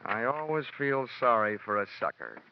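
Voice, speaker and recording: An actor playing a wisecracking, hardboiled private investigator in a classic radio detective play, with a wry, clipped, slangy delivery. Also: male voice, medium pitch, sing-song, moderate pace, noisy background. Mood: sardonic. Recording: muffled vintage radio recording.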